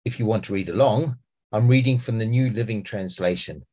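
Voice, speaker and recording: A man with a New Zealand accent reads scripture aloud for a church podcast. His voice is low and husky. He reads in a measured, expressive way. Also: lo-fi sound. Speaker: church speaker.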